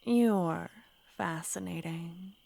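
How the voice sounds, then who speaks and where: Woman doing a possessive flirty witch, whispered ASMR, very slow delivery, teasing tone. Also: on the low side, creaky, exaggerated voice. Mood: intimate. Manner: soft, teasing, often whispered. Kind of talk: ASMR roleplay.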